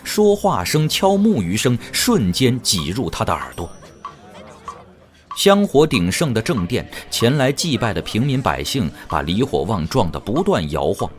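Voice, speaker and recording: Low-pitched, animated male narrator. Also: measured pace. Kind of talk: dramatic scripted audiobook narration. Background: music.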